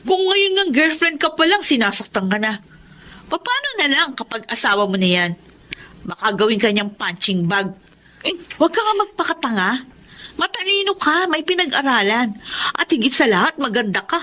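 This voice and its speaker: A woman's voice: high voice, big pitch swings, moderate pace, sharp tone, exaggerated voice. A woman playing a blunt, protective young friend in a radio drama. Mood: exasperated scolding.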